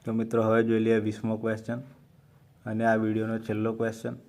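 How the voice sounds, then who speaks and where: A man with a Gujarati accent hosting an exam-prep quiz, reading out questions and options slowly and carefully. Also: lowish voice, completely flat delivery, darkish tone. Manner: slow, measured reading of options. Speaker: quiz host for exam preparation.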